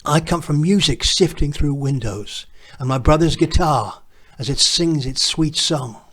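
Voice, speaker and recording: A man, reading his own poem in a measured, reflective way. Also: a little high, fairly bright tone, breathy edge. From northern England. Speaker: poet. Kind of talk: poetry reading.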